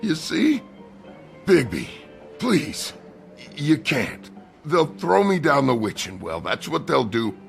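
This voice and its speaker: A man playing a gruff, down-and-out woodsman, a bitter and self-loathing brute with a gravelly voice, in a dramatic video game. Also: on the high side, very animated, slow, long pauses, soft background music, exaggerated voice. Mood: panicked, pleading. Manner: coarse, swearing, rambling.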